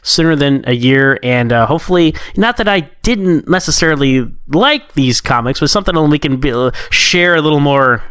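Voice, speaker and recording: A man's voice: fairly high pitch, lively intonation, normal speed, few pauses, a bit bright, smooth, no echo, studio quality. A man chatting casually and informally as a podcast guest, with an encouraging way of talking. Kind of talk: casual conversation.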